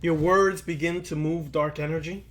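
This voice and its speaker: A man giving an esoteric lecture like a preacher, speaking in deliberate, halting phrases with long pauses and repeating key lines for emphasis. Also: a little high, steady tone. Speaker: occult lecturer.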